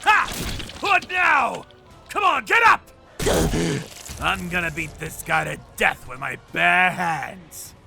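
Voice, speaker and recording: An actor playing a ruthless vigilante torturer in a dubbed comic story, cold, menacing and mocking. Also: male voice, sits high, very animated, slow pace, vocal fry, pauses often, sharp tone, shouting, exaggerated voice. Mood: mocking, aggressive. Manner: menacing, taunting. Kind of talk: dramatic first-person narration and acted fight dialogue. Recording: music behind the voice.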